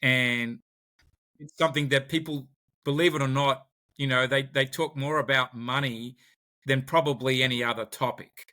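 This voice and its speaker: A man's voice: mid-range voice, steady tone, normal speed, pushed and bright. An Australian man, a podcast guest, talking in a conversational interview and often repeating words as he searches for his phrasing.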